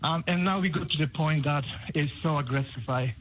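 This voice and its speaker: A man's voice: on the high side, brisk, fairly bright tone, slight background noise, low-quality recording. A man from Poland with a Polish accent, calling a radio phone-in, nervous and hesitant with many ums. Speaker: caller. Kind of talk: phone-in call.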